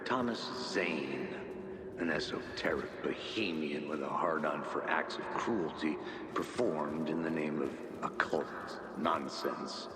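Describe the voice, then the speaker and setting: An actor playing a hard-boiled, brooding investigator, narrating in a grim, wordy noir monologue for a video game. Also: male voice, extremely low pitch. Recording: very echoey, loud noise behind.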